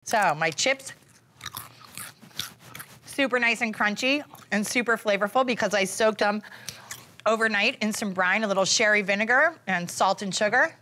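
A woman's voice: mid-range voice, moderate pace, long pauses, bright, forceful tone, slight background noise. Bubbly woman TV chef, playful repeats. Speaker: TV chef host. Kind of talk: upbeat cooking demonstration to camera. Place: indoor studio kitchen set.

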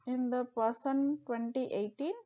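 Woman, tutorial instructor, step-by-step explaining, mellow tone, dull lo-fi recording. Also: high voice, measured pace. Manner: steady step-by-step explaining with fillers. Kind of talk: screen-recorded software tutorial.

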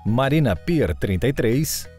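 A man's voice: medium pitch, sing-song, a little slow. Brazilian male announcer for scripted sponsor spot, mid-range voice with big melodic swings, music bed.

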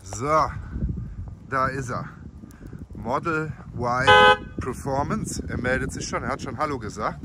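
A man in his forties with a cold, talking casually and excitably into a phone camera held at arm's length. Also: medium pitch, a little slow, pauses often, very noisy. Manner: chatty, excitable, swears casually. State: has a cold. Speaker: vlogger. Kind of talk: casual vlog. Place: living room, then car park and car interior.

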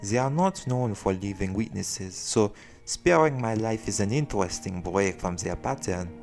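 A man's voice: fairly low pitch, expressive, normal speed, music in the background. A man playing a proud, bantering werelion vigilante in an audio roleplay, theatrical and formal in his wording. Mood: grudging, subdued.

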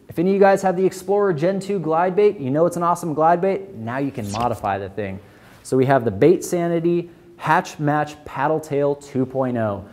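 A man's voice: mid-range voice, darkish tone. A young man presenting new fishing tackle in an upbeat, salesy rundown, recorded indoors in a tackle store on a lapel mic. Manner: enthusiastic, salesy, quick filler-laden delivery. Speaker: tackle shop product presenter. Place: indoor tackle store aisle with a wall of lures.

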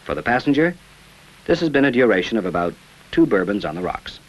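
A man reading scripted narration for an old informational film in a measured, formal manner. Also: medium pitch, very animated, highs cut off. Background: background music.

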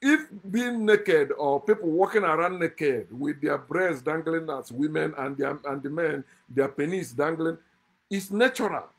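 Husky man lecturing with scorn. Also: on the high side, measured pace. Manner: fiery, scornful, lecturing, chuckles between points. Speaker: channel host. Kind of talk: impassioned monologue to camera. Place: indoor room, seated at a desk.

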